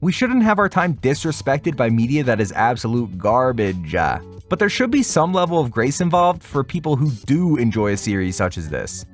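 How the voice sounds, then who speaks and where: Animated male video essayist over music. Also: medium pitch, moderate pace, hardly any pauses. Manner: wry, opinionated, informal slang asides. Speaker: anime reviewer, online video host. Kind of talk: scripted video essay review with casual asides.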